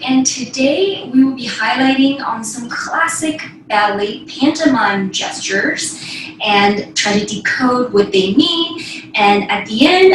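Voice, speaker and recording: A young woman giving step-by-step instructional class talk as a ballet teacher, demonstrating as she speaks. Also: a little high. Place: small bedroom, indoors. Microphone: far camera across the room. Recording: echoey room, distant mic, faint noise.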